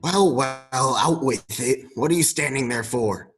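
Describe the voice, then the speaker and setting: A man's voice: medium pitch, measured pace, faint music behind, lightly acted. An actor in an amateur radio play, playing a grumpy, miserly old businessman who is curt and scornful. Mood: impatient.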